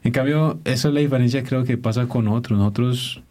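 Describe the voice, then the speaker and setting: A man's voice: medium pitch, a little fast, darkish tone. Hesitant man, middle voice. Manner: thoughtful, hesitant, searches for words. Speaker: dance instructor guest. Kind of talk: interview answers.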